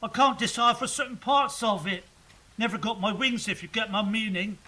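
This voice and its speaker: An actress playing a brisk, unimpressed celestial worker with casual bluntness in a stage drama. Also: female voice, fairly high pitch, pushed and bright. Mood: casual, imposing. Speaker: stage actor. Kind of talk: theatrical stage drama acting.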